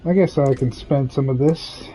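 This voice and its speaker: A man's voice: mid-range voice, moderate pace, warm tone, faint music behind, rough recording. Candid rambling man streaming a game. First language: English. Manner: rambling, candid storytelling with laughs and swearing. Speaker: gaming streamer. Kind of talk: casual chat while gaming. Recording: game sounds behind the voice.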